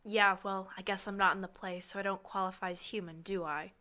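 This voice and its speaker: Resentful, anxious student, played by a woman: hurt, bitter edge, bright forceful tone, mid pitch, audio drama. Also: fairly even intonation, brisk, close to an everyday voice. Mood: bitter, defiant.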